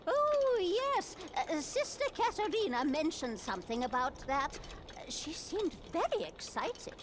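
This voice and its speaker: Game nun clockmaker: high-voiced, animated, muddled and kindly, slightly deaf, some background ambience. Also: female voice, a little slow, noisy background. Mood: puzzled, chatty. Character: elderly-seeming, slightly deaf nun clockmaker, gentle and absorbed in repairs. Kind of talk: video game character dialogue.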